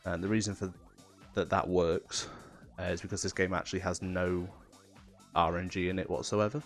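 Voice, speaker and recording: Nasal low-voiced man with a cold. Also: normal speed, faint music behind. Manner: relaxed, explanatory, with fillers. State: has a cold. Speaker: speedrunner commentating his own run. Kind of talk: casual technical commentary.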